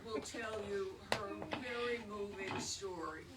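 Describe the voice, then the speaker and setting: A woman hosting an event, introducing the next speaker slowly and cheerfully. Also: medium pitch. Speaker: event host. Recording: distant echoey room with noise.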